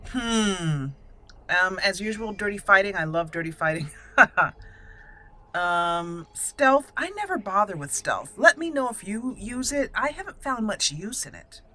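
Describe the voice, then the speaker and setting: A woman's voice: medium pitch, sing-song, a little slow, bright, forceful tone. A woman hosting a gaming video, giving casual roleplay commentary and thinking aloud as she plays.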